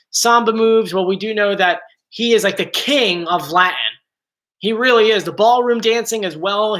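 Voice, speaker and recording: A man's voice: sits high, pushed and bright. A man hosting a casual live stream from home, chatty and joking, easily drifting off topic. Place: home, bedroom area. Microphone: computer mic.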